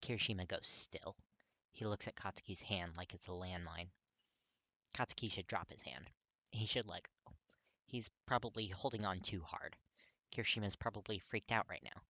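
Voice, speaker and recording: A narrator reading fiction aloud in an expressive, scripted storytelling style. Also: deep voice, moderate pace, no room sound, highs cut off.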